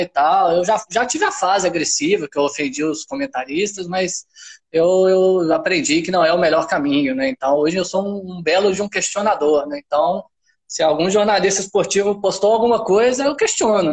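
A man with a Minas Gerais accent talks as a guest on a casual podcast, his voice sitting a bit higher than most men's. He rambles freely and keeps checking in with his listeners through little tag questions. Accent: Minas Gerais Brazilian Portuguese. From Minas Gerais, Brazil. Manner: chatty, frequent tag questions, animated. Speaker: researcher guest.

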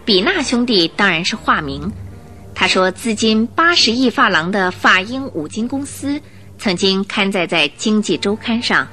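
A woman narrates a radio drama in expressive storyteller style. Her medium-pitched voice rises and falls vividly over faint music. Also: a little slow.

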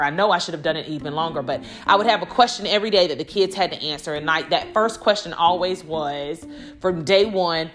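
A woman's voice: fairly low pitch, fairly bright tone. An American woman hosting a podcast in a conversational, reflective way, speaking directly to listeners. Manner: reflective, addresses listeners directly with rhetorical questions. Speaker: podcast host and school instructional coach. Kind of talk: conversational solo podcast monologue.

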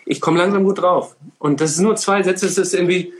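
A man's voice: sits high, fairly quick. A talkative man chatting enthusiastically as a guest in an interview, with many fillers. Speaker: singer and coach, interview guest. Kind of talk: casual interview chat.

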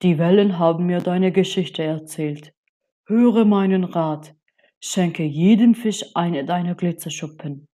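Woman doing a deep-voiced wise octopus. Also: warm tone, close to an everyday voice. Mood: solemn. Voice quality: deep voice, slow and solemn. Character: wise old octopus in a dark cave.

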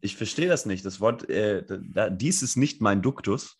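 Hoarse, low-voiced man with a cold. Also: expressive, normal speed. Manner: joking, laid-back banter. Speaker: podcast co-host. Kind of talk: casual chat.

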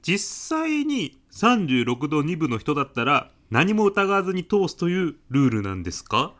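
A man's voice: on the high side, very animated, normal speed, fairly bright tone. A man playing an insistent, argumentative, eccentric businessman with absurd logic in a comedic radio drama. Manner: insistent, matter-of-fact, argumentative.

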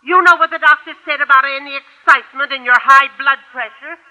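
Very high-pitched cheeky old granny. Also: female voice, brisk, pushed and bright. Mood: cooing, fussy. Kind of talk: 1950s radio sitcom acting. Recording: noisy old radio broadcast, studio audience.

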